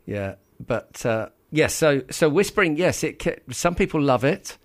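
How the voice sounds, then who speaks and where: A man's voice: on the high side, sing-song, a little slow. A man co-hosting in casual chat, speaking hesitantly with a dry, teasing manner.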